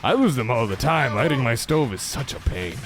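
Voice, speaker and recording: A man's voice: medium pitch, animated, fairly quick, close to an everyday voice. A man playing a blunt, literal-minded goon sidekick with wry, streetwise slang in a comic radio play. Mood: dim, curious. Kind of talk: comic old-time radio play acting.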